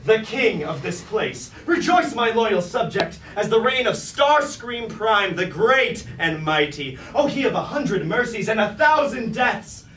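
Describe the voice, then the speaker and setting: A man's voice: sits high, big pitch swings, a little slow, few pauses, fairly bright tone, exaggerated voice. An actor playing a scheming, grandiose robot king in a staged tragedy, speaking pompous, archaic theatrical lines. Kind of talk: staged reading of a mock Greek tragedy. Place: indoor staged reading, mic far from actor. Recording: roomy, distant, noisy live stage recording.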